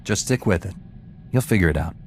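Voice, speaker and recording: An actor playing a calm, dutiful heroic knight in a video game, measured and sincere. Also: male voice, low-pitched, loud music behind the voice. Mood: calm, earnest. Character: earnest, dutiful male Jedi Knight, calm hero.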